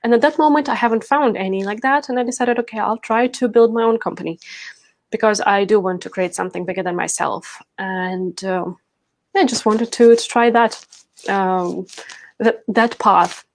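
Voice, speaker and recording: A woman's voice: mid-range voice, normal speed, no room sound. A woman from Ukraine, speaking conversationally as an interview guest and coach, telling her own story.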